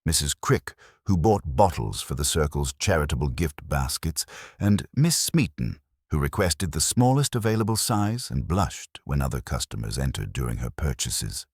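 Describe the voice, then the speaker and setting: A man's voice: rumbling low, very animated, moderate pace, slightly rough, no echo. A man narrating a scripted mystery story in a formal, dry, wry manner.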